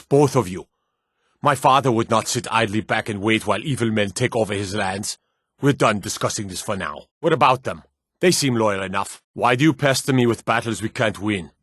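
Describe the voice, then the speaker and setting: A man voicing a gruff, wary city guard in a fantasy video game, stern, clipped and world-weary. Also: medium pitch, a bit bright, no echo, studio quality, close to an everyday voice. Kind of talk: video game character dialogue.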